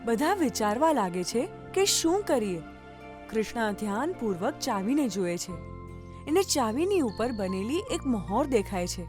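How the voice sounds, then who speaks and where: A woman's voice: on the high side, very animated, moderate pace, over music. A woman narrating a scripted children's story as the storyteller.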